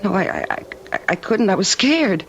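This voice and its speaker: A woman's voice: medium pitch, sing-song, normal speed. A woman acting a frightened, weary but stubborn wife in a vintage radio drama, with hesitant, stammering speech. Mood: insistent, anxious. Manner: hesitant, stammering, repeats words. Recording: dull, noisy old radio recording.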